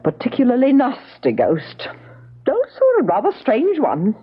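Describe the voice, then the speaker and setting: Radio drama supporting role: cautioning female house agent, raspy, hugely animated, emphatic. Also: on the high side, measured pace, exaggerated voice. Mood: ominous, cautioning. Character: brisk, cautioning English country estate agent woman. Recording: dull lo-fi radio drama recording.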